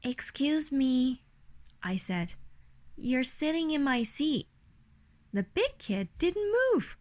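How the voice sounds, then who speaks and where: A woman with a Chinese accent reads a children's picture book aloud expressively, as a parent would to a young child. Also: high voice. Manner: slow, animated storytelling for a young child. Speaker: parent reading a bedtime story to a child.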